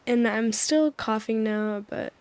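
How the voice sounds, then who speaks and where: Soft-spoken woman sleep-story host, mellow and a touch high, slightly hoarse, meandering and soothing. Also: slow pace. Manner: gentle, soothing, meandering with small laughs. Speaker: sleep-aid video host. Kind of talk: soft, rambling sleep-aid talk over gameplay. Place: voice-over recorded apart from the game footage.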